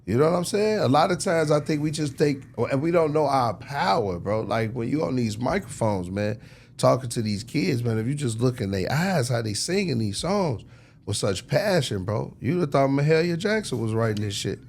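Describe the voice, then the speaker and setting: A man's voice: mid-range voice, expressive, normal speed, a little mellow, a little hiss or hum. An American man giving casual, emphatic, preachy advice as an interview guest, often checking the listener follows with tag questions. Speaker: music industry executive, interview guest.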